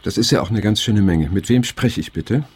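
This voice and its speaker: An actor playing a weary, reflective older man in an introspective audio drama, with a gravelly voice and an unhurried manner. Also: fairly low pitch, a little mellow. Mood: bewildered. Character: weary, unsettled older man looking back on his youth. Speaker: narrator and main character. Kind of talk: introspective audio drama narration and phone dialogue.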